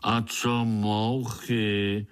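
A man voicing a grumpy old spider in a storybook, peevish and grumbling, with drawn-out words. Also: lowish voice, flat delivery, clear, resonant tone, lightly acted. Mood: sullen, peevish. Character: grumpy old male spider leader, sullen complainer.

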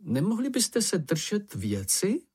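A storyteller plays a fussy young male creature in a children's storybook. He sounds earnest and pedantic, with a raised, husky voice that strains with exasperation. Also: medium pitch, very animated, soft background music, voice pushed up. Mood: exasperated.

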